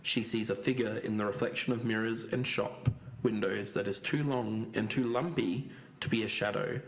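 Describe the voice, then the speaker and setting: A man's voice: fairly low pitch, fairly even intonation, moderate pace, close to an everyday voice, rough recording. A man playing a sober, methodical podcast investigator, explaining evidence carefully and with some hesitation. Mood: careful, serious. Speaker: podcast co-host. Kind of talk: scripted fiction-podcast narration.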